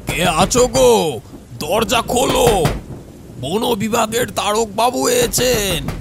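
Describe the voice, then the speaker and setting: A man playing a burly, loud servant in an audio horror story, calling out in a coarse, drawn-out shout over music. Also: creaky, exaggerated voice. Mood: urgent shouting. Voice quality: booming, coarse voice. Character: burly loud servant bellowing at a locked door.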